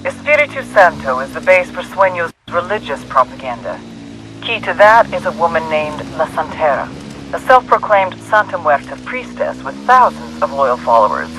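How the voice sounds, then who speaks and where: An actor playing a hard-bitten intelligence handler giving a blunt, grim mission briefing in a game. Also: male voice, fairly high pitch, expressive, normal speed, vocal fry. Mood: grim, urgent. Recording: faint vehicle or radio noise.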